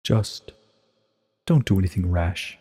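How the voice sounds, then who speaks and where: An ancient pony princess, acted by a man, low and very husky. She speaks with solemn, weary regret in a measured storybook confession, soaked in long reverb. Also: animated, mellow, heavily acted. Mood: solemn, resigned. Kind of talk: dramatic storybook reading.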